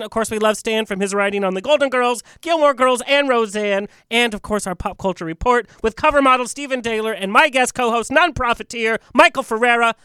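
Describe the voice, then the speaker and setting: Bright, high, nasal male radio host with a stuffed-up nose, playful and giggly, dry studio sound. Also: smooth, no echo. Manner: playful, giggly, quick with jokes. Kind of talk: campy chat-show hosting. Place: studio in Hollywood.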